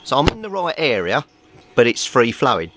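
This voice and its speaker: A man's voice: mid-range voice, big pitch swings, normal speed. A man giving an informal, methodical workbench explanation as a hobbyist repairer. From Britain. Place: small indoor home workbench. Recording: clearly noisy home recording.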